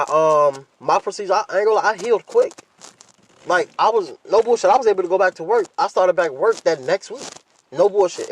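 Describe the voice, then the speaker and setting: A man hosting a podcast, talking casually and candidly in blunt, profane slang and repeating himself for emphasis. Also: a little high. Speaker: podcast host.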